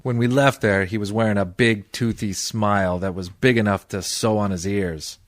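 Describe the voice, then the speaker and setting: Male actor as hard-boiled private eye, low voice, dry close sound, deadpan sarcasm, old-time radio drama. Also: moderate pace, no echo, natural voice. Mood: wry, weary narrating. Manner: sardonic, deadpan. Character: wisecracking, cynical hard-boiled private detective. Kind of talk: old-time radio detective acting and narration.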